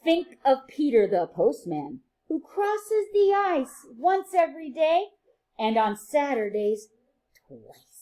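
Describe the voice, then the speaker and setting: A woman with a high voice reads a picture book to young children as their teacher. Her delivery is playful and sing-song, swinging widely in pitch. She moves between expressive reading and softer, chatty asides to her listeners. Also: slow pace. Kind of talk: animated children's read-aloud with chatty asides.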